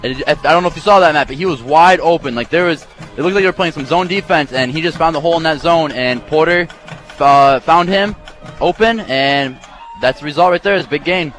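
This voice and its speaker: A man's voice: on the high side, fairly quick, a bit bright, faint music behind. An American man giving casual, conversational color commentary and analysis on a live football radio broadcast. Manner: casual, explanatory.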